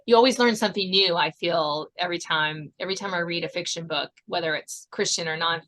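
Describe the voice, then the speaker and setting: A woman's voice: on the low side, a little fast, fairly bright tone. A woman hosting a podcast in a casual interview, chatty and given to laughing. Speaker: podcast host.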